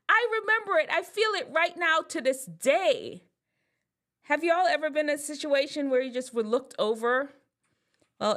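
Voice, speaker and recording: A woman in her thirties from Jamaica talks straight to her viewers in a loose, storytelling way. Her pitch leaps and swoops all over the place when something excites her, and she breaks into laughter at her own jokes. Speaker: vlogger. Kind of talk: casual chat to camera.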